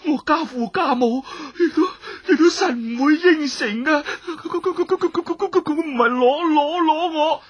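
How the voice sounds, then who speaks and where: A narrator voicing a terrified, pampered young gentleman, stammering and begging in Cantonese. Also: high voice, moderate pace, few pauses, pitched above the performer's own voice, close to an everyday voice. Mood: terrified pleading.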